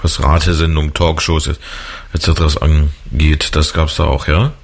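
A man with a very deep voice hosts a radio interview. He talks in a loose, chatty way and fills his pauses with "äh" and "ähm". He asks his guest questions as he goes along. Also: level tone, breathy edge. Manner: chatty, with many fillers. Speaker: radio host.

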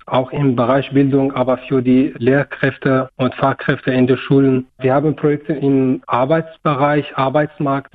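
A man with a Syrian accent and Kurdish as his first language answers interview questions. His pitch stays very even as he explains things earnestly, hesitating to find his words. The sound is thin and narrow, like a phone line. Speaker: interview guest, counsellor at a migrant organisation.

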